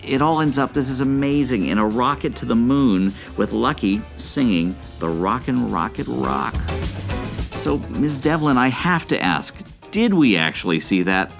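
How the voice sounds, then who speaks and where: An actor playing a gushing, awestruck film buff who recounts things with dramatic enthusiasm in an audio drama. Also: male voice, lowish voice, gravelly, a bit bright. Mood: amazed.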